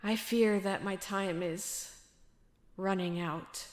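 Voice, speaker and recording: A woman voicing a calm, serious young female warrior in a low, slightly raspy, flat monotone. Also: slow pace, roomy sound, natural voice. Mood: grave.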